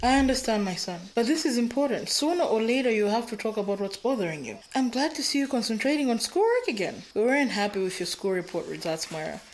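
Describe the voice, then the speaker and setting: A woman playing a caring mother who gently pleads with her son in a radio drama. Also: fairly high pitch, very animated, fast talker, few pauses, a little hiss or hum, poor audio. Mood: concerned.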